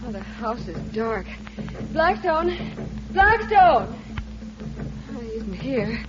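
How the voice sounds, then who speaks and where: An actress playing a plucky, excitable magician's assistant, theatrical and breathless in 1940s radio drama style. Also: female voice, a little high, slow, music in the background. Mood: anxious. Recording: muffled vintage radio recording.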